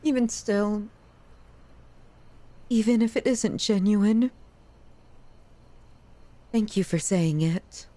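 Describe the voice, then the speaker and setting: A woman playing an obsessive, self-loathing young yandere in an intimate ASMR roleplay, with an emotional, halting, pleading delivery. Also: mid-range voice, mellow, dry recording, natural voice. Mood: tender, melancholy.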